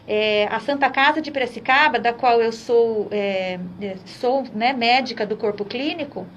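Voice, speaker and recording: A woman's voice: on the high side, measured pace, slight background noise. A Brazilian woman doctor explaining treatment on a radio interview, with many restarts. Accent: Brazilian Portuguese. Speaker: doctor guest.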